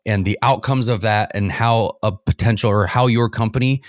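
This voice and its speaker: A man's voice: on the low side, normal speed, dry recording, clean studio sound. A man explaining things conversationally as an expert guest on a panel.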